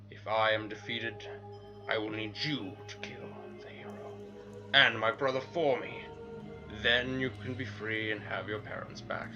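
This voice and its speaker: A man playing an arrogant god of darkness in a dramatic story reading, with a slow, scoffing, threatening manner. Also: medium pitch, muffled recording, close to an everyday voice. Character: menacing, arrogant male god of darkness and disorder. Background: music.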